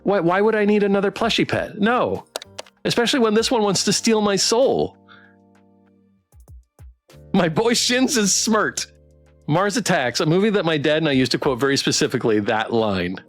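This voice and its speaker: Animated male game streamer, fairly high voice. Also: normal speed, pauses often. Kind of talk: casual game playthrough, chatting and narrating. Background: music.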